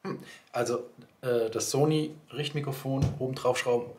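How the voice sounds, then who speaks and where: A man's voice: mid-range voice, fairly even intonation, moderate pace, darkish tone. A man chatting casually to his viewers as a vlogger, rambling and thinking aloud. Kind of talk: casual vlog chat. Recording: clearly audible ambient background noise.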